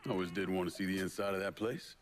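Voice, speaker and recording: An actor playing a tough young war veteran, terse and steely, in a dramatic game cutscene. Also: male voice, low voice, fast, background noise, natural voice. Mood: calm, terse. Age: young adult.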